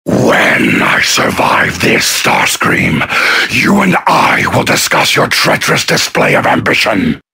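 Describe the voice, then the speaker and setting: An actor voicing a menacing, imperious robot warlord in a video game, with a harsh breathy growl and a pompous, commanding manner. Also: male voice, medium pitch, wildly swooping pitch, slow pace, pushed and bright, full character voice. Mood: contemptuous, menacing.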